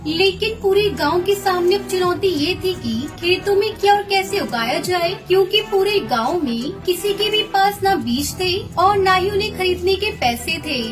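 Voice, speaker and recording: Very high-pitched woman storyteller. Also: lively intonation, normal speed, few pauses. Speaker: narrator. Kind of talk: scripted children's story narration. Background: music.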